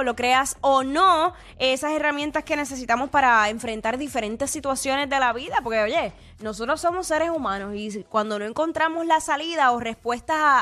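A Puerto Rican woman hosts a radio show in a high, bright voice. She chats loosely and laughs often with her co-host. The talk is casual banter full of quick asides. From Puerto Rico.

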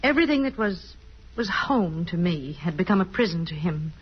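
An actress playing a grieving, self-doubting wife in a mid-century radio drama, with a hesitant, wistful delivery. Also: female voice, on the low side, expressive, normal speed. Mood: subdued, regretful. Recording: dull, slightly husky old radio recording.

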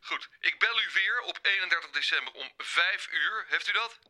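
A man's voice: high-pitched, lively intonation, moderate pace, pushed and bright, full character voice. A man playing an awkward, rambling bank official with false joviality in a comedic radio play. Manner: hesitant, digressive, officious.

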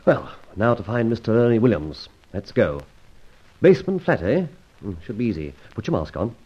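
An actor playing a suave gentleman adventurer in a radio drama, urbane and teasing, with a theatrically polite manner and a husky voice. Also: fairly low pitch, very animated, normal speed. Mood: brisk, wry. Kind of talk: old radio drama acting. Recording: dull vintage radio recording.

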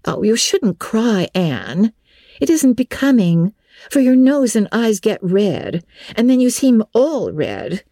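A woman's voice: a little high, very animated, takes it slowly, mellow, dry recording, studio quality, voice pushed up, full character voice. A woman voicing a smug, tactless teenage schoolgirl with a condescending, scornful manner in a storybook reading.

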